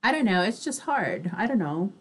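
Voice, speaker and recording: A woman's voice: medium pitch, sing-song, moderate pace, background noise. A woman hosting an online radio show in casual chat, with a chatty, giggly manner.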